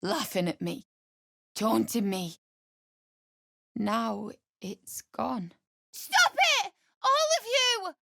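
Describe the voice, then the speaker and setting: A woman's voice: fairly high pitch, big pitch swings, extremely slow, vocal fry, pauses often, sharp tone, dry recording, studio quality, full character voice. A woman playing a distraught young pregnant widow in a video game, emotional and pleading, earnest and defiant in her grief. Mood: desperate, angry.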